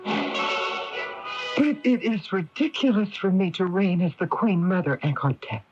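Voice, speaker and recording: A woman's voice: on the low side, very animated, slow pace, over music. An actress playing a scheming, vengeful Egyptian queen in an imperious, theatrical manner in an old radio drama. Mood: haughty, seductive. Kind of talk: 1980s radio drama acting. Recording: dull lo-fi old radio broadcast.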